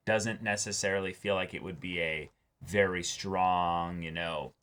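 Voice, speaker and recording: Young guy w a low voice, casually picking apart a show, measured and analytical. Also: fairly even intonation, a bit bright. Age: young adult. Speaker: host of an anime reaction show. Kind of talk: casual discussion. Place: small indoor room, seated before a backdrop.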